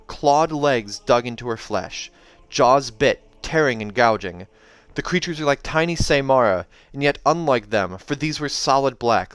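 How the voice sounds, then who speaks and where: A man hosting a read-aloud of a novel, narrating in an expressive, dramatic style. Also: medium pitch. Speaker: host reading a novel aloud. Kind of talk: dramatic audiobook narration with casual asides. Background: soundtrack music.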